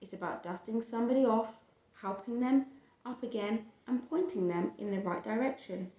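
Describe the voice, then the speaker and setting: A woman's voice: fairly high pitch, normal speed, dark tone, reverberant. A woman reading a picture book aloud to a child, stopping to explain the pictures simply and acting out the quotes. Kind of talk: storytime read-aloud with asides to a child.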